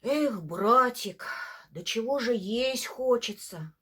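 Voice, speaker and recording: A woman voicing a greedy, hungry young male bear cub in a folk tale, with a loud, plaintive, protesting manner. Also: on the high side, animated, slow pace, husky, heavily acted. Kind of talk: theatrical bedtime folk-tale reading.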